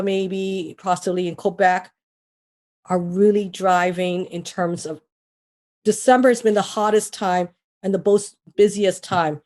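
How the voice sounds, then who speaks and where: A woman's voice: on the low side, a little mellow. A woman, a mortgage broker being interviewed, talking casually with many restarts and fillers. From Trinidad. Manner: rambling, with frequent restarts and fillers.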